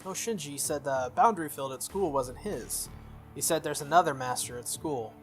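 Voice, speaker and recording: A man's voice: fairly high pitch, normal speed, close to an everyday voice. A man reading the lines of an earnest, good-natured teenage schoolboy from a visual novel. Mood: earnest, explaining. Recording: music behind the voice.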